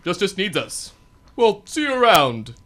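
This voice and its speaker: A man's voice: sits high, very animated, a little slow, a bit bright, full character voice. A man playing an earnest superhero who also announces the show, in a student radio drama. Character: earnest, upright male superhero.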